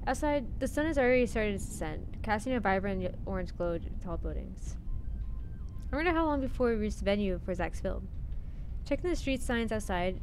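A woman's voice: mid-range voice, darkish tone. Young woman, game streamer, reading story text aloud, occasional stumbles, music in background. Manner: stumbling over words as she reads. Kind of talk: reading visual novel narration aloud with commentary.

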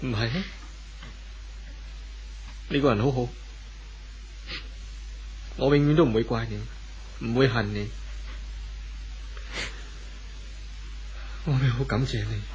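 An actor playing a gentle, forgiving wealthy husband in a 1970s radio drama, with a Hong Kong Cantonese accent. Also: male voice, mid-range voice, normal speed, pauses often, close to an everyday voice, rough recording. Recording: old radio recording, faint noise.

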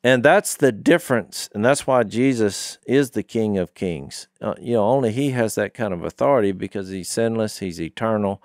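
A man's voice: lowish voice, normal speed, few pauses, no room sound. A middle-aged man co-hosting a Bible discussion podcast, telling stories emphatically in a conversational way, close on a studio mic. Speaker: podcast co-host teaching scripture. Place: small wood-panelled podcast studio. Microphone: studio microphone on boom, close.

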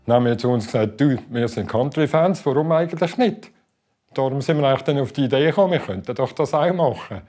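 A man's voice: mid-range voice, animated, quick pace, a little mellow, faint music behind. Chatty Swiss German man in his fifties. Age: 50s. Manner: enthusiastic, chatty, with fillers. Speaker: interviewee. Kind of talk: casual interview chat. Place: living room indoors.

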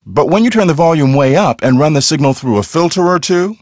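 Video game ghost hunter played by a man: cocky, theatrical, earnest, lively pitch swings, dry close sound. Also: fairly quick, no echo, natural voice. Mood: explaining. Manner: theatrical, self-assured explaining.

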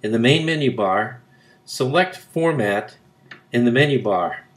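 Man reading a scripted software tutorial. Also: medium pitch, animated, a little slow. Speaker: tutorial narrator. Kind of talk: scripted instructional narration.

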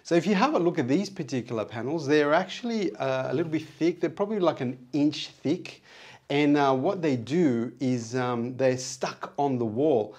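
A man's voice: fairly high pitch, lively intonation, moderate pace, a little mellow. A middle-aged man with a moderately nasal voice, chatting casually and in a rambling way as he explains things in a vlog. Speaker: vlogger. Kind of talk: casual vlog, gear walkthrough. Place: small acoustically treated home studio, indoors. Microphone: wireless clip-on mic on chest.

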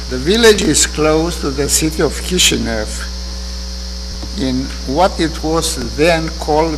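Older romanian-accented guy giving a eulogy, really slow, lectern mic, echoey sanctuary. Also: mid-range voice. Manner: slow, measured, earnest delivery. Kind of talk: memorial eulogy. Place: synagogue sanctuary. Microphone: lectern microphone. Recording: reverberant hall with hum.

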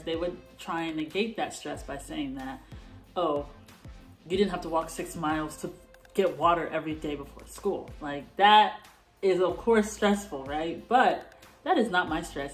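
A young woman born in Canada and raised in the US, talking casually to the camera from her bedroom, animated and gesturing as she explains. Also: low-pitched. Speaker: vlogger. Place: bedroom at home, indoors.

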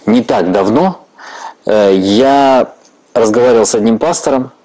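A man's voice: mid-range voice, measured pace, mellow, low-quality recording. A man in his forties from Ukraine who interviews his guest on a church stage, candid and reflective, laughing easily. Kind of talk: conversational interview.

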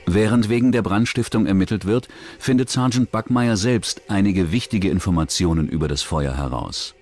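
Low-voiced male documentary narrator. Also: moderate pace, darkish tone, faint music behind. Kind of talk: scripted true-crime narration.

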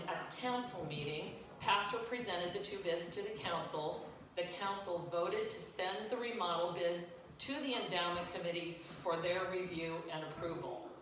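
An older woman on the church council reading announcements from her notes in a loud, plain voice in a church sanctuary. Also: lowish voice, noisy background. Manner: loud, plain reading with a self-deprecating aside. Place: large echoey church sanctuary. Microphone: far camera at the back. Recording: echoey church from far off.

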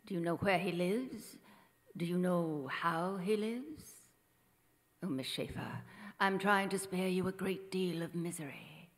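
A woman's voice: on the low side, unhurried, lightly acted. A middle-aged woman playing a desperate, grandiose faded film star in theatrical, pleading radio drama style. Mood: anguished pleading. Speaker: stage radio actress. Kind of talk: old-time radio drama acting. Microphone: vintage-style stand microphone. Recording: hall reverb, live stage performance.

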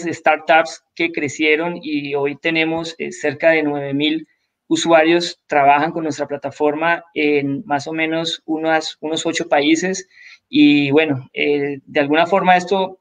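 A man in his thirties from Colombia, a tech entrepreneur speaking as a guest on a live video call, measured and restarting his phrases often. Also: on the high side, a bit bright. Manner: measured, many restarts and repetitions, formal. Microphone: video call audio. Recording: dull lo-fi call audio.

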